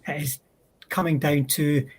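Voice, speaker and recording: A man on a discussion panel explaining military history in a thoughtful, halting way, stretching out words. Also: a little high, fairly even intonation, husky. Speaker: panel speaker.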